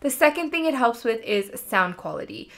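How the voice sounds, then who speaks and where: Expressive woman giving conversational advice. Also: a little high, moderate pace. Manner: expressive, emphatic. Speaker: career coach presenting advice. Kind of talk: instructional advice video, conversational.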